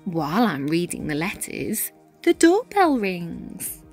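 A woman reading a children's picture book aloud in an expressive, animated manner with dramatic pauses and emphasis. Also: on the low side, slightly rough, soft background music. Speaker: read-aloud storyteller, teacher-style reader.

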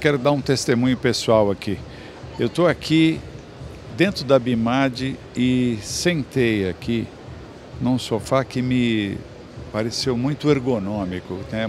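A man's voice: mid-range voice, background noise. A man in his fifties with a Brazilian Portuguese accent, hosting on-site interviews at a trade fair and praising products with enthusiasm, speaking into a handheld mic in a large exhibition hall. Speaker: trade show TV host.

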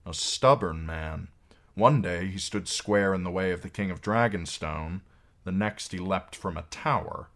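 A man's voice: low-pitched, measured pace, a bit bright. A man voicing a bitter, cynical knight commander in an audiobook, speaking in a dry, sardonic, commanding way. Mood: curt, commanding.

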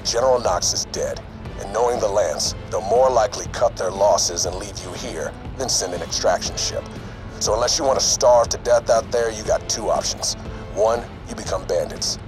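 Guy voicing a solemn resolute army rebel leader in a game, low voice, speechy and commanding, music behind. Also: moderate pace, natural voice, low-quality recording. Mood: grave, resolute. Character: earnest, resolute male military resistance leader rallying soldiers. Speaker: game character. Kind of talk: scripted speech in a video game audio log.

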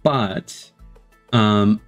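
Sardonic man reacting, very lively pitch. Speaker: live streamer. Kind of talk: casual live reaction commentary. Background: game showcase audio and music.

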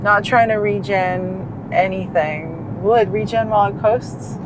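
Woman chatting over clear car noise. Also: mid-range voice, a little slow. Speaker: vlog co-host, passenger. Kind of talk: casual chat, narrating a road trip. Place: inside a moving car. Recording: steady road and cabin noise.

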